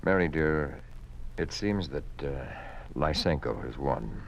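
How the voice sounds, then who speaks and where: An American man playing a troubled, dignified professor and husband in a 1950s radio drama, grave, halting and gently formal. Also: very deep voice, expressive, slight background noise, exaggerated voice. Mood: quietly resigned. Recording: muffled vintage 1950s radio recording.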